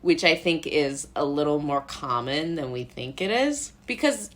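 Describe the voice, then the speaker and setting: Young american woman, kinda low voice, rambly casual podcast guest telling stories. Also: big pitch swings, unhurried. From Brooklyn, New York, USA. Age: young adult. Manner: rambling, anecdotal, quoting imagined voices. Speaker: podcast guest, playwright and actor. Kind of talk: casual chat.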